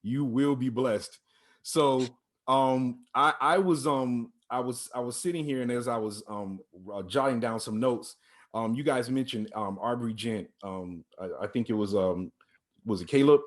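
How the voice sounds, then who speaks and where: A man's voice: medium pitch, moderate pace. A man hosting a casual interview, rambling and repeating himself as he encourages his young guests. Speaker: host. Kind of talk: casual church-music interview.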